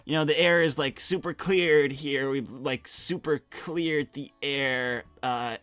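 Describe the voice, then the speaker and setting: A man's voice: medium pitch, fairly even intonation, takes it slowly, fairly bright tone, clear, resonant tone, no echo. A man playing an awkward, eager teenage hedgehog in an improvised cartoon role-play, stammering, rambling and backtracking. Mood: awkward, nervous.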